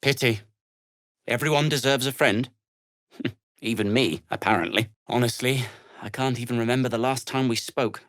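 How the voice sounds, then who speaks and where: An actor playing an earnest, self-deprecating gnome adventurer in a video game, hesitant and wry, with formal, wordy phrasing. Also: male voice, mid-range voice, normal speed, long pauses, fairly bright tone, no echo, studio quality, close to an everyday voice. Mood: rueful, sincere. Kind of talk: video game character dialogue.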